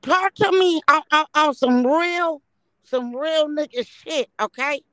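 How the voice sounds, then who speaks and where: High, bright-voiced woman in her thirties. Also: slow, dry recording. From San Francisco Bay Area, California. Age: 30s. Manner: loud, blunt, profane storytelling. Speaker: podcast guest. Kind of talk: casual chat.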